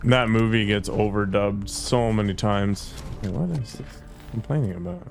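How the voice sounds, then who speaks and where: A man's voice: fairly low pitch, normal speed, creaky, soft background music. A man casually commenting while playing a video game, rambling and joking offhand. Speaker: gameplay streamer. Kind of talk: casual let's-play commentary.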